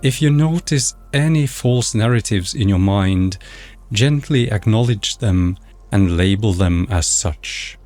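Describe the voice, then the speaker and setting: Slow, low-voiced man guiding meditation. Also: lively intonation, darkish tone, no echo. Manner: measured, instructional, scripted delivery. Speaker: meditation guide. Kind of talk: guided meditation narration. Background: background music.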